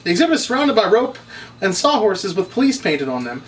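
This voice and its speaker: A man's voice: sits high, sing-song, a little fast. A man narrating stage directions as he reads from a script at a table read. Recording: distant mic, noisy room.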